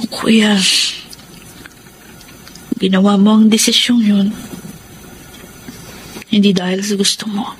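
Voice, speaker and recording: A slow, mid-pitched actress playing a gentle bereaved sister in a television drama. Her delivery is reflective and halting, with faint background noise. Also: natural voice, rough recording. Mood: consoling. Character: gentle, devoted young aunt grieving her sister. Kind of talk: Filipino television drama acting.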